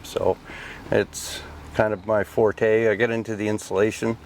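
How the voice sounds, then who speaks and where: A man's voice: on the low side, little pitch movement, unhurried, darkish tone. A middle-aged man from Nova Scotia, a guest in a casual interview, who gives short, clipped answers. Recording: faint background noise.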